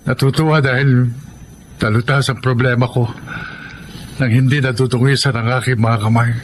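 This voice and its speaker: An actor playing a grave, wealthy male boss in an emotional, deliberate radio drama style. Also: mid-range voice, steady tone, a little hiss or hum, close to an everyday voice. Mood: sincere, relieved. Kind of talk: Filipino radio drama acting.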